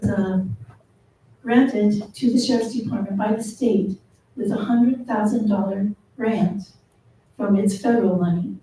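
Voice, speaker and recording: Soft-voiced woman in an echoey chamber. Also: medium pitch, slight background noise. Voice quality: soft, small voice. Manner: earnest, measured, with frequent ums. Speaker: public commenter. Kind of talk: public comment at a council meeting. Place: city council chamber. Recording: echoey council chamber, distant mic.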